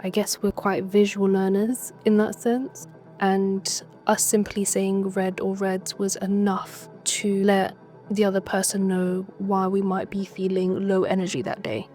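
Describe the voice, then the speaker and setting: A woman speaks in a measured, matter-of-fact way. Her pitch stays almost completely flat, and her tone is warm. Soft music plays faintly behind her. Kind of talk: personal testimony for a video.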